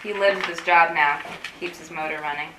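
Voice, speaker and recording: An actress in a staged reading playing an anxious, wry, guarded support group organiser, rambling and awkwardly correcting herself. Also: female voice, low-pitched, fairly even intonation, brisk, close to an everyday voice. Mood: wistful. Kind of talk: staged play reading, naturalistic dialogue. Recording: roomy, distant mic with faint noise.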